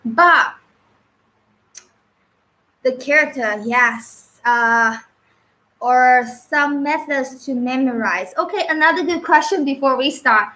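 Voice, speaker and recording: A woman whose first language is Mandarin Chinese, teaching on a live stream, unscripted and halting with fillers and restarts. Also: high voice, pushed and bright, poor audio.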